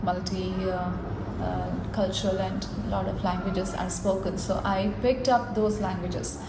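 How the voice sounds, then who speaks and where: Flat-voiced woman with an Indian accent. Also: medium pitch, gravelly. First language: Punjabi. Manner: even, measured, with some hesitant fillers. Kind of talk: interview answer. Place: bright indoor common room. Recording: echoey room with background noise.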